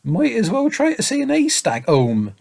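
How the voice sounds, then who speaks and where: A man's voice: very high voice, wildly swooping pitch, vocal fry, voice pushed up, exaggerated voice. A sobbing little boy. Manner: sobbing, piteous, drawn-out. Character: tired tearful little boy, pleading.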